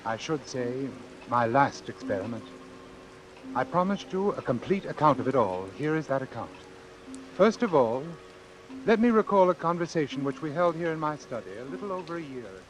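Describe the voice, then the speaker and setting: A cynical, morbid gentleman doctor. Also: on the high side, sing-song, a little fast, soft background music, poor audio. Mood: grave, confiding. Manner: precise, formal, theatrical. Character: cynical, death-obsessed gentleman doctor, intellectual and condescending. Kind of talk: 1940s radio acting. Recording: vintage 1940s radio recording.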